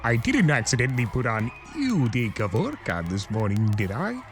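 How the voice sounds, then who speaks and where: A woman's voice: extremely low pitch, wildly swooping pitch, normal speed, over music, voice pushed down, heavily acted. A woman voicing a sardonic, suave male trickster creature with a drawling, theatrical delivery in a fan fiction reading. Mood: wry suspicion.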